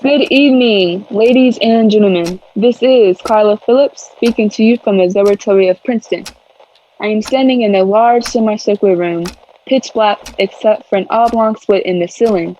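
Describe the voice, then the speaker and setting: An actress playing a composed female radio commentator in a 1930s-style drama, formal, measured and descriptive. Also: mid-range voice, warm tone, slight background noise, close to an everyday voice, poor audio.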